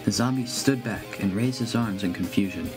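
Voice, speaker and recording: Guy narrating a horror story in first person, lowish voice, music going behind him. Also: normal speed.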